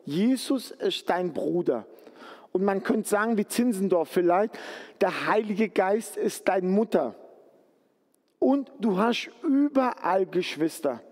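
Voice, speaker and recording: Guy from turkey giving a sermon, kinda high voice, earnest and chatty, big hall echo. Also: animated, slow pace, darkish tone. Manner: earnest, conversational, pleading at times. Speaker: preacher.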